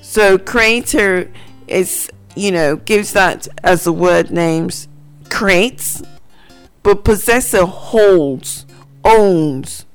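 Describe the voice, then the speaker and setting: A woman teaching from the Bible on the radio, reading verses aloud and explaining them in a slow, reflective way. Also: medium pitch, clean tone. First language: not Hebrew. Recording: faint guitar music behind. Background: soft guitar music.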